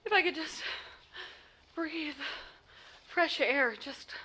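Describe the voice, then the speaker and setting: An actress playing a nervous, demanding, indignant bedridden wife on the telephone in a 1940s-style radio play. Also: female voice, high-pitched, slow, pushed and bright, full character voice. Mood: anxious, fretful. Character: imperious, hysterical bedridden invalid wife, frantic on telephone.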